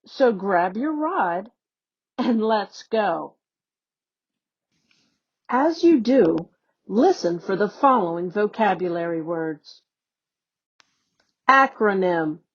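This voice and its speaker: A woman educator reading a children's newsletter aloud in a slow, deliberate, teacherly way. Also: medium pitch, slightly rough. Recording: dull, lo-fi recording.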